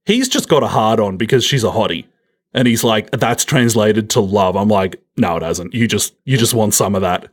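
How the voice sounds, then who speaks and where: A man's voice: fairly low pitch, lively intonation, normal speed. A man with an Australian accent co-hosting a film podcast, chatting casually in a jokey, blunt, irreverent way.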